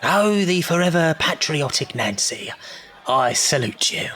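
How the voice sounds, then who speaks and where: An actor playing a wily old leader of child thieves in an audio drama, with an English accent, a gravelly voice and a theatrical, ingratiating manner. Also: male voice, a little high, lively intonation, bright, forceful tone. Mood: teasing. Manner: theatrical, ingratiating, drawn-out delivery.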